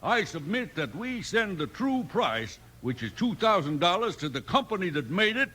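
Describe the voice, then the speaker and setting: An American actor playing a bossy, pompous small-town mayor in a 1950s radio western, speechifying in folksy grammar. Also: male voice, sits high, big pitch swings, moderate pace, sharp tone, breathy edge, full character voice. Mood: declaiming. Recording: faintly noisy old radio recording.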